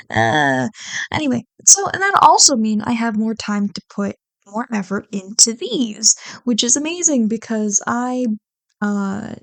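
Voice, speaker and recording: Female creator, off-the-cuff and rambling, slightly gravelly with fry, unhurried, self-mocking, casual swearing, close dry mic. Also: a little high, a bit bright, no room sound. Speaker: ASMR roleplay audio creator. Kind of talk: casual rambling chat to listeners.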